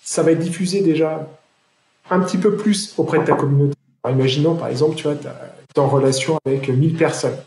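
A man interviewing a guest in a casual, relaxed chat, explaining things informally. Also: a little high, quick pace, reverberant, slight background noise. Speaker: interviewer, podcast host.

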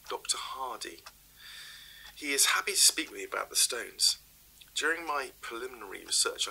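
A man's voice: mid-range voice, vocal fry, bright, forceful tone, breathy edge, full character voice, poor audio. An actor playing a curious male professor, formal and hesitant. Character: curious male professor recording research tapes.

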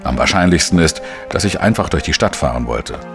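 An actor playing a wry, world-weary journalist who narrates a radio crime drama in a measured, dry manner. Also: male voice, low-pitched, animated, music in the background. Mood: wry, reflective. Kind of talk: scripted first-person crime narration.

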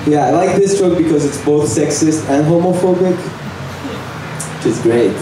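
Deadpan young man on an echoey bar stage. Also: on the high side. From Algeria. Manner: deadpan, halting with fillers, breaks into nervous laughs. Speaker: stand-up comic. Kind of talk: open mic stand-up set. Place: small bar stage indoors. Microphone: handheld mic, filmed from far camera. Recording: echoey room from far off, noisy.

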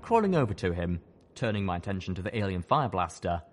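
Casting: male audiobook narrator, low voice, dramatic first-person storytelling over noisy rumbling effects. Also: very animated, fast.

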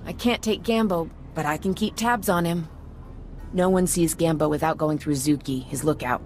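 A woman's voice: low voice, moderate pace, a little mellow, soft background music, natural voice. A woman playing a wry, battered police officer in a video game, sounding weary and in pain. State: pained, woozy.

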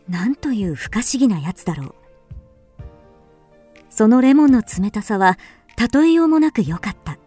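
An actress playing a wistful, introspective first-person storyteller in a literary radio drama. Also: female voice, mid-range voice, warm tone. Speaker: actor narrating.

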